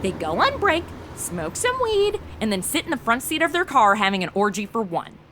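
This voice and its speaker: A woman's voice: fairly high pitch, big pitch swings, normal speed, background noise. A woman playing a brash, ditzy, sarcastic modern young woman in a comedic audio drama, with slangy, theatrical delivery. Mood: dismissive.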